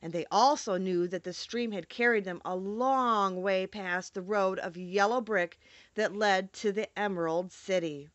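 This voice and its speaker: A woman's voice: mid-range voice, a little slow, dry recording, dull audio. A woman reading a children's storybook aloud as an audiobook narrator. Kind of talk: expressive scripted storybook narration.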